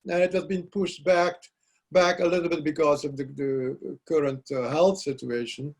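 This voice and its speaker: An older man whose first language is Dutch, a poet being interviewed, speaking reflectively and pausing often to search for words. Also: fairly high pitch, steady tone. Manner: halting, searching for words, frequent restarts. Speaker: poet guest.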